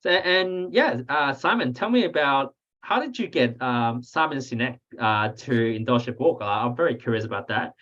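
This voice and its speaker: A man's voice: mid-range voice, animated, moderate pace, few pauses, fairly bright tone. A man from Australia with an Australian accent, hosting a podcast casually, chatty with lots of "like" fillers. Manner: chatty, lots of "like" and small laughs. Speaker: podcast host. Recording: slightly dull, lo-fi audio.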